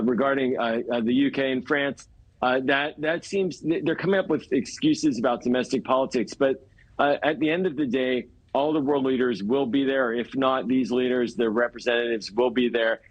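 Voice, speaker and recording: An American man with a mid-pitched voice comments as a guest analyst on live television news. He speaks in measured, explanatory phrases, often pausing and repeating words as he forms his point. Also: poor audio.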